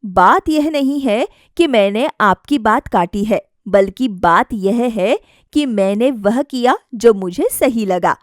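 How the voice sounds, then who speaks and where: A woman's voice: on the high side, expressive, slow, no room sound, clean studio sound. A woman voicing a self-assured young wife, a politely defiant daughter-in-law who explains herself firmly in a family story. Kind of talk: acted dialogue in a family story.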